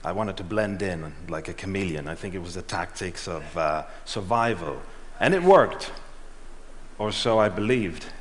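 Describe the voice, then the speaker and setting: A middle-aged man giving a prepared talk on stage, telling a measured story and letting his lines trail off. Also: low voice, expressive, a little hiss or hum. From Switzerland and Ghana. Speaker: speaker. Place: large auditorium. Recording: reverberant hall.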